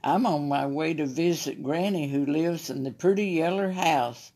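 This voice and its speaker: A woman's voice: low voice, normal speed, darkish tone. A woman with a Southern American accent voicing an innocent, trusting little girl in a storybook, earnest and polite.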